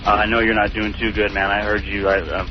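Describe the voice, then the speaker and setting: A man in his early twenties calling a radio show, chatting casually in a halting, repetitive way. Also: fairly low pitch, level tone, faint music behind. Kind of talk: casual chat. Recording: dull, noisy call-in line on radio.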